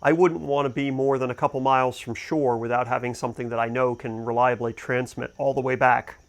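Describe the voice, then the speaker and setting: A man's voice: mid-range voice, fairly even intonation, a little fast. A man hosting a boating gear review, talking casually and off the cuff as he thinks aloud. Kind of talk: casual unboxing and review talk, unscripted.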